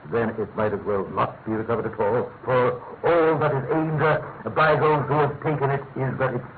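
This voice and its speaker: A man's voice: medium pitch, natural voice. Tinny radio British Prime Minister played by a man. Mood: grave, stern. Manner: weighty, deliberate, formal. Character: austere, dominant elder statesman, grave Prime Minister. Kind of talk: classic radio drama acting. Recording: thin narrow-band old radio recording, faint noise.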